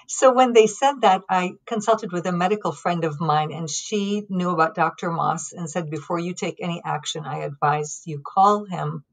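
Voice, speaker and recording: A middle-aged woman from Lebanon with a low voice tells her story as an interview guest. She speaks calmly and thoughtfully, pausing often with ums. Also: rough recording. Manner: calm, reflective, with frequent ums and pauses. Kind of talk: conversational storytelling.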